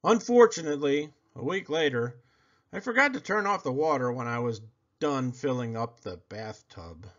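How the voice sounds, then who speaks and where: Very animated man reading a children's storybook. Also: mid-range voice. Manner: theatrical, expressive delivery with drawn-out words. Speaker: storybook reader. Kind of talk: animated children's read-aloud. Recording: slightly dull, lo-fi audio.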